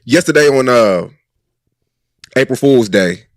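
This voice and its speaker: A man's voice: mid-range voice, animated, unhurried, dry recording, studio quality. A man hosting a podcast, asking loose conversational questions. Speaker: podcast host. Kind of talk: casual interview questions.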